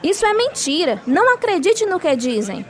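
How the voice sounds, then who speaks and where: A woman's voice: extremely high pitch, big pitch swings, moderate pace, some chatter behind. An actress playing a devout, grieving mother and steadfast wife in a radio drama, earnest and sorrowful. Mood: firm, consoling. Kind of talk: radio drama acting and first-person narration.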